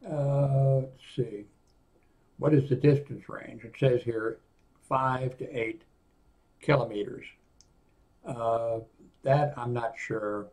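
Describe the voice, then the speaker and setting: A man in his sixties giving a casual, unscripted product review in a rambling, halting way, often reading aloud from a screen. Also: medium pitch, steady tone, a little mellow. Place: small indoor home office room.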